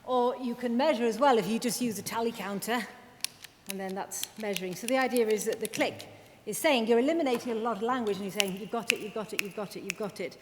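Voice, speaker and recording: Woman therapist presenting, chatty and self-deprecating, reverberant hall, faint rumble. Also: fast. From Wales. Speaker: speech and language therapist. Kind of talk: conference presentation.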